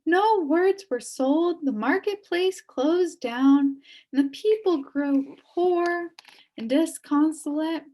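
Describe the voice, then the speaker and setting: A woman's voice: high voice, slow, warm tone. A woman voicing a sad, wistful elderly woman recounting her downfall in a storybook. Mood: regretful. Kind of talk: storybook character reading.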